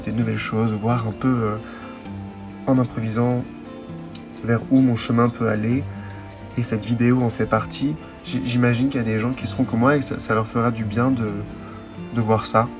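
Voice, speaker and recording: A man in his late twenties giving a candid, hesitant personal vlog monologue, searching for his words as he reflects. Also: medium pitch. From Switzerland or France. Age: late 20s. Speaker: vlogger. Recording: background music.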